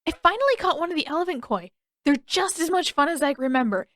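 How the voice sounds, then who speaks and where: Woman voicing an excitable high-pitched young boy. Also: quick pace, no room sound, studio quality. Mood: thrilled, breathless excitement. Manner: eager, exclaiming, bursting with energy. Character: excitable, energetic young boy, soaking wet. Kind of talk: audiobook character voice.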